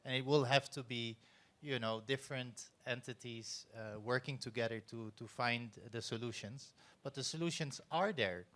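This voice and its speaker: Dutch-accented man, measured panel talk. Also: medium pitch, fairly bright tone. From Netherlands. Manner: measured, explanatory, with many fillers. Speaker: panelist, former corporate CEO. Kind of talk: panel discussion answer.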